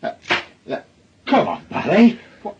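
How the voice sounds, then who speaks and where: A man's voice: measured pace, lo-fi sound, background noise, close to an everyday voice. An actor playing a nervous, evasive man in a radio drama, stammering and pleading in a Northern English accent. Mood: panicked, pleading. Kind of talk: naturalistic radio drama acting.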